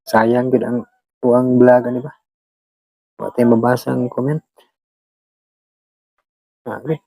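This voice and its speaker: A mellow, slightly rough-voiced Filipino vlog host with a Visayan accent talks casually to listeners. The delivery is halting, repetitive and apologetic, on an even pitch, recorded dry and close. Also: dry recording, poor audio. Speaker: vlog host who shares radio dramas. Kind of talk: casual rambling chat to listeners.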